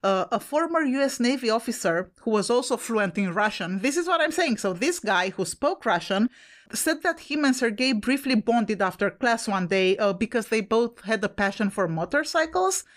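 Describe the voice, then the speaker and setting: A woman's voice: fairly high pitch, animated, normal speed. A woman co-hosting a podcast with an Italian accent, chatting casually, laughing often and swearing now and then. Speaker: podcast co-host.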